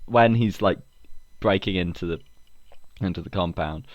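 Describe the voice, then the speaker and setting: A man's voice: deep voice, moderate pace, dull audio, noisy background. A man co-hosting a podcast in casual chat, who laughs often and restarts his sentences. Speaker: podcast co-host.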